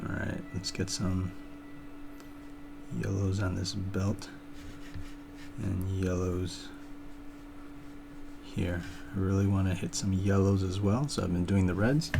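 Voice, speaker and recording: A man painting on a live stream, thinking aloud in a relaxed, casual way as he demonstrates and chats with viewers. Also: deep voice, slow, gravelly, long pauses, background noise. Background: music playing.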